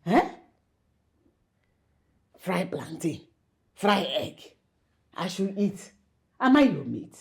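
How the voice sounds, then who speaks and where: Woman as bossy Nigerian mum in a comedy skit, scolding, pitch swings wildly. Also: big pitch swings, extremely slow, gravelly, long pauses, breathy edge, full character voice. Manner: scolding, mixes in local words. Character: domineering, disapproving middle-aged mother, sharp-tongued. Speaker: actor.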